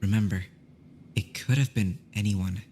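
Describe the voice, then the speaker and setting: A woman playing a reserved, level-headed young investigator in an animated murder mystery, understated and considerate. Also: extremely low pitch, moderate pace, a little hiss or hum.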